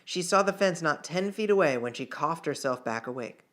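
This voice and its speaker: A man's voice: a little high, expressive, normal speed, fairly bright tone. A man narrating fiction in an audiobook style, reading aloud with the odd small stumble. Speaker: author reading own novel chapter.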